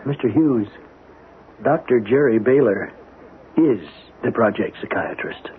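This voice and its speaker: A man's voice: medium pitch, expressive, a little slow, close to an everyday voice. Actor as condescending male doctor, radio drama: authoritative, mid-range, clipped phrasing, tinny vintage sound. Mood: cold, weary. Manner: authoritative, curt, patronizing. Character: brusque, condescending, weary male surgeon at secret facility. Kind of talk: old-time radio drama acting. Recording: tinny, noisy old radio broadcast.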